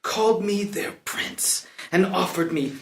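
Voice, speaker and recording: A man's voice: high voice, measured pace, gravelly, fairly bright tone, a little hiss or hum, full character voice. A man playing a brooding young captive prince in a play reading, declaiming poetic lines with dazed, vengeful intensity. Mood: dazed wonder. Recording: roomy, echoey live room.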